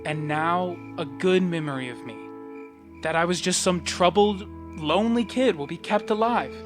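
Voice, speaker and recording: A man's voice: sits high, normal speed, faint music behind, natural voice. A young man playing a troubled, lonely, sardonic teenage boy in an audio play, with a dry, morbid way of talking. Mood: calm, grateful.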